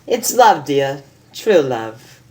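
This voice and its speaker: A woman voicing an elegant young female unicorn dressmaker in a storybook reading, prim and theatrical with affected, drawn-out exclamations. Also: sits low, very animated, warm tone, heavily acted, poor audio. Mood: affectionate.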